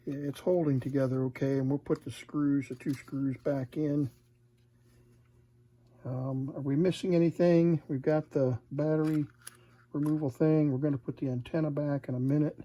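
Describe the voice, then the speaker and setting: A man giving a casual hands-on repair demonstration, unhurried and murmuring to himself as he works. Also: fairly high pitch, steady tone, mellow. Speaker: vintage radio repair hobbyist, presenter. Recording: clear background noise, room ambience.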